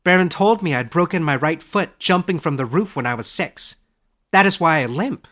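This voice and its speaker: A man voicing an earnest, excitable young former student in a storybook, eager and quick to defend himself. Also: a little high, voice pushed up. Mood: insistent. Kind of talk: storybook character voice in audiobook narration.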